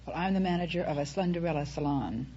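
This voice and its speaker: A woman's voice: low voice, fast, warm tone, slightly rough. An American woman in her twenties from New Jersey, answering a host's questions conversationally as a quiz contestant. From New Jersey, United States. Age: 20s. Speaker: quiz contestant, salon manager. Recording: dull, slightly noisy vintage broadcast recording.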